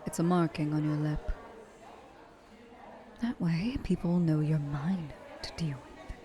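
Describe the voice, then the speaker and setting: A woman's voice: deep voice, steady tone, unhurried, warm tone. A woman voicing an ancient, seductive, possessive vampire trillionaire in an intimate, poised ASMR roleplay. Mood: teasing, possessive.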